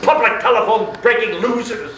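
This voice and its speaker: A man's voice: very high voice, normal speed, a bit bright, distant mic. A man acting in a stage play as a menacing, unhinged middle-class man, theatrical and sarcastic, ranting with mock politeness. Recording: echoey, noisy stage recording from afar.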